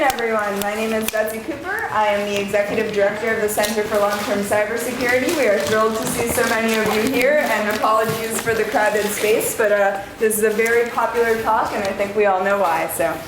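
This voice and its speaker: A woman's voice: fairly high pitch, brisk. A woman acting as host, formally introducing a guest speaker from prepared notes in a crowded lecture room. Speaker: executive director introducing a speaker. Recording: very echoey room, clear background noise.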